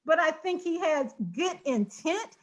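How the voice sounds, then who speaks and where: A woman's voice: extremely high pitch, lively intonation, measured pace. A woman on a discussion panel, earnestly and steadily scolding another panelist to choose his words more wisely. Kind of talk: heated panel debate. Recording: slightly dull lo-fi audio.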